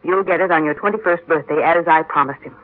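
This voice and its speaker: A woman's voice: low voice, quick pace. Casting: a firm, self-possessed stepmother, vintage radio drama, narrow lo-fi recording. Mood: earnest, composed. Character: composed, firm stepmother explaining herself. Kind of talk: 1940s-50s radio drama acting. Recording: tinny old radio recording.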